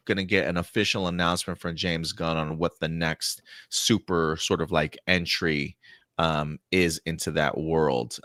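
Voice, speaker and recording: Bright, forceful man hosting a discussion. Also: deep voice, dry recording, clean studio sound. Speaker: host of a live entertainment news discussion show. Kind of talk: casual fan discussion and commentary.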